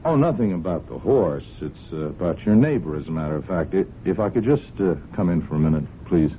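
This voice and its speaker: On a muffled, hissy old radio recording, a male police sergeant with a very low, expressive voice. He is folksy and hesitant, apologizing as he goes. Underneath the mild politeness he keeps quietly probing. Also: fairly quick. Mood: polite, hesitant. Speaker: police sergeant in a crime drama. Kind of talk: old-time radio drama acting.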